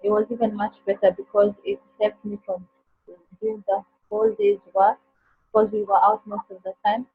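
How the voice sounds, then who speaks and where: Woman participant, very husky, dry muffled call audio. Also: medium pitch, lively intonation, moderate pace. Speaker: course participant. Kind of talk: sharing on a group call. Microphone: video call. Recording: dull dry call audio, faint music behind.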